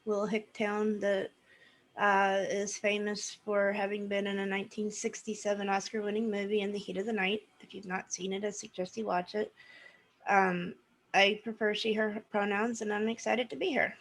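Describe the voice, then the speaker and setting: A woman's voice: mid-range voice, flat delivery, moderate pace, poor audio. A woman in her forties from southwestern Illinois, introducing herself in a chatty way on a video call. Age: 40s. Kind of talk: casual introduction.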